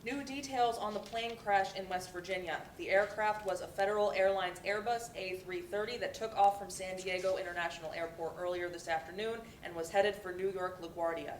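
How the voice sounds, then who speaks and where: A woman's voice: mid-range voice, steady tone, brisk, hardly any pauses, distant mic, lightly acted. A composed female radio news anchor, played by an actress in a stage play, delivers a bulletin formally. The voice is echoey and set back from the mic, with faint noise. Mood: formal, urgent.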